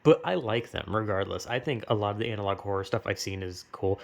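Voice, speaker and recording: A man's voice: on the low side, quick pace, creaky. A young American man talks off the cuff in a loose, rambling way. His speech is slangy and full of swearing, like casual opinion commentary among friends. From United States. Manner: rambling, slangy, heavily profane.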